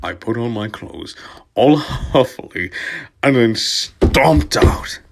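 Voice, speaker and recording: A man reads a story aloud with a slow, unhurried pace and a very animated pitch. He is theatrical and sardonic, treating the text as comedy and moving from murmured asides to loud outbursts. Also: slight background noise. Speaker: reader of comic fan fiction. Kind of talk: dramatic comic read-aloud with sardonic asides.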